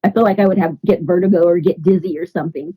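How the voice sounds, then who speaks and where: A woman's voice: on the low side, steady tone, fast, husky, phone-quality sound. A woman from Texas, a podcast guest in casual chat, talking chattily with lots of "you know" and "like".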